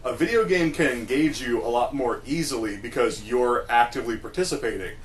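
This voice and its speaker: A man's voice: medium pitch, brisk, rough recording. Man explaining opinions over background noise. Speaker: podcast co-host. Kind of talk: casual opinionated film discussion.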